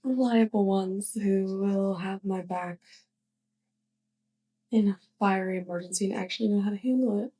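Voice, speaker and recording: A woman playing a young, clumsy, affectionate witch neighbor in a soft, hesitant ASMR roleplay monologue, speaking straight to the listener. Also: medium pitch, fairly even intonation, breathy edge, close to an everyday voice, poor audio. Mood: shy, grateful. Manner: hesitant, halting, giggly.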